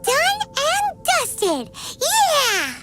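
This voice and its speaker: A tiny fairy sidekick from a video game, squeaky and extremely high. The childlike voice is unhurried and swings widely in pitch, boastful and whiny, with game music loud behind it. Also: female voice, huge pitch swings, heavily acted. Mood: cheerful, proud. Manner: speaks of self in third person, exclamatory. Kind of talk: video game character acting.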